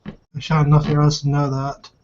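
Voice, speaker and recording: A man's voice: on the high side, monotone, normal speed, darkish tone, highs cut off, slight background noise. A man talking casually as a player in a tabletop roleplaying game.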